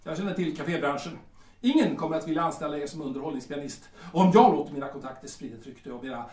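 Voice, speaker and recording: A man's voice: fairly high pitch, a little mellow, natural voice. A man acting a smug, lecherous café owner in a Swedish audio drama, oily and hesitant, often repeating himself. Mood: threatening. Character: smug, lecherous male Viennese café owner, haggling and menacing. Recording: roomy, distant from the mic.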